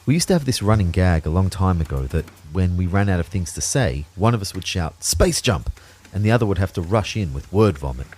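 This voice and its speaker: A man's voice: low voice, animated, a little fast, a little mellow, husky. A man playing an anxious, introspective man who narrates his own story in a reflective, wry way for an audio drama. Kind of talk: first-person scripted audio drama narration.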